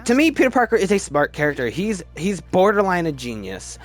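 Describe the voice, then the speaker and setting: Higher-voiced man giving stammering, casual commentary over music. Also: sing-song, brisk. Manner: stammering, repeating words as they go. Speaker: video host ranking films. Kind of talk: casual opinionated review commentary.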